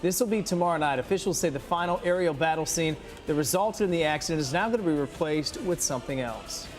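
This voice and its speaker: Male morning TV anchor, chatty, fast delivery, faint music bed. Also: on the high side. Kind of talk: chatty news presenting.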